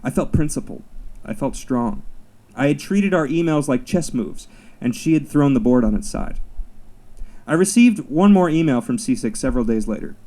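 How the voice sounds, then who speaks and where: A man reads his own personal essay aloud at a literary event. His voice is relaxed and mellow, with a middling pitch. He delivers each line in a measured, wry storyteller's manner. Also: low-quality recording. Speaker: writer reading own essay.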